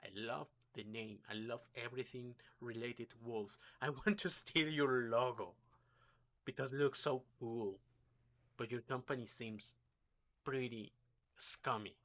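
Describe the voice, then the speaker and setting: A man with a Spanish accent and Spanish as his native language, delivering an unscripted, opinionated rant in a halting, repetitive, blunt and sweary way. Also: medium pitch, a bit bright. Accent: Spanish-speaking. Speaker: video creator.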